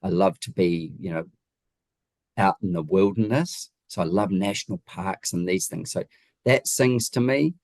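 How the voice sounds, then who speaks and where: A man with a low-pitched voice and a New Zealand accent speaks as an interview guest, recorded dry and close. He explains things conversationally and leans on key points with emphasis and rhetorical questions. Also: unhurried, a little mellow, no room sound. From Wellington region, New Zealand. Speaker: guest, home designer.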